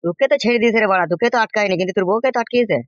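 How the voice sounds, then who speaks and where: A man's voice: sits high, racing, no room sound, lightly acted. A man voicing a nosy, exasperated village uncle in a comic cartoon, impatient and questioning, with a rural West Bengal accent. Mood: goading. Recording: dull lo-fi sound.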